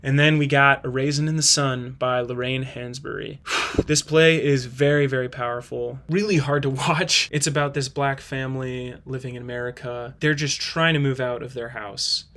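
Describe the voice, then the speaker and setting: A man's voice: medium pitch, fairly bright tone. A man hosting a video, giving earnest, casual, conversational commentary. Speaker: host of a play-ranking video.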